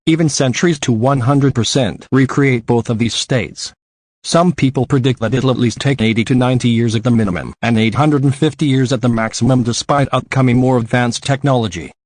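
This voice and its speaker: A man's voice: mid-range voice, no room sound. A man reading a scripted local news report as a news anchor.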